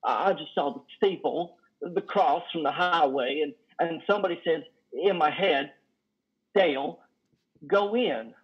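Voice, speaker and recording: An actor in his thirties playing a lonely, awkward trucker in a play reading, halting, stammering and folksy. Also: fairly high pitch, breathy edge. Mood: nervous, apologetic. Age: 30s. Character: lonely, awkward, self-deprecating trucker, plain-spoken and folksy. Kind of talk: play reading over video call. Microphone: headset on a video call. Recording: dull video-call audio.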